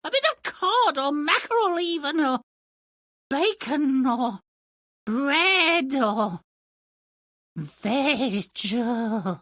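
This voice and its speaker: Shrill, imperious nagging wife. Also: male voice, very animated, very slow, pauses often, pushed and bright, no echo, clean studio sound, full character voice. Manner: demanding, pompous. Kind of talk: cartoonish game fairy-tale acting.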